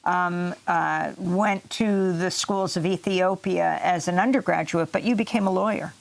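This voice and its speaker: A woman's voice: lowish voice, measured pace, gravelly. A woman interviewer in a news studio, introducing her guest formally and asking questions. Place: broadcast studio.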